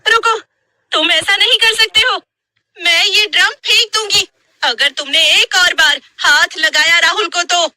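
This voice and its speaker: Woman putting on a high, shrill distressed young wife. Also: unhurried, pushed and bright, no room sound, heavily acted. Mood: desperate, commanding. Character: frightened, scolding young wife in a haunted house. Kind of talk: animated horror story dialogue.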